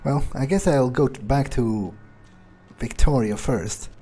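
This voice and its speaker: A man playing a slow, dark-voiced sneering vampire. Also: medium pitch, faint music behind, natural voice. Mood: musing, weary. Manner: sneering, foul-mouthed, musing. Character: contemptuous, scheming male vampire musing to himself. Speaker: game player staying in character. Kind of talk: in-character roleplay playthrough.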